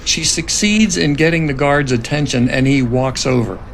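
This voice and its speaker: A man with a gravelly voice reading scene directions as narrator of a dramatic script reading. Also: moderate pace. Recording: noticeable background rumble.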